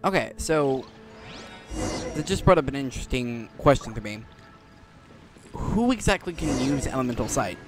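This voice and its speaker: A man giving casual, musing gameplay commentary with halting delivery as he hosts. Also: medium pitch, lively intonation, music in the background. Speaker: gaming video host. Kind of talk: casual gameplay commentary, reading dialogue aloud.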